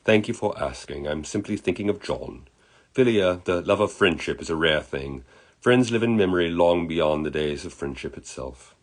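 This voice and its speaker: A man's voice: low-pitched, expressive, normal speed. A man voicing a courteous, reflective dead Englishman for a story, with a put-on British accent and eloquent, wistful manner. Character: genteel, wistful British dead man, friendly spirit in human body.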